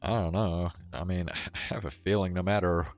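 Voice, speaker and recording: A man's voice: low voice, fairly even intonation, normal speed, lo-fi sound. A man streaming a game with casual, mildly exasperated commentary, swearing freely. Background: video game music.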